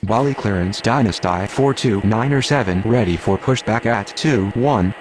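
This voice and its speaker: A fairly low-pitched, steady airline radio voice from a flight game, played by a man. The calls are clipped and businesslike. There is faint engine-like noise in the background. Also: moderate pace, lightly acted. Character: steady, businesslike male airline pilot and air traffic controller. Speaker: pilot and air traffic controller voice in a game.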